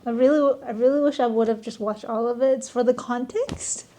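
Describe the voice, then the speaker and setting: Young woman, student reacting, slightly high voice, mellow tone, eager, laughs a lot. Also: fairly even intonation, a little fast. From Korea. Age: young adult. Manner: enthusiastic, laughs often, repeats words while thinking. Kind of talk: casual reaction chat.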